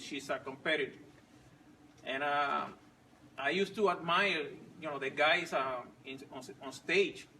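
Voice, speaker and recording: A man in his forties, not a native English speaker, telling his story slowly with many pauses and restarts. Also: a little high, gravelly, bright, forceful tone, far from the mic, slight background noise, poor audio. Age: 40s. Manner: halting, many fillers and restarts. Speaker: fit club member sharing his story. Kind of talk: casual personal story.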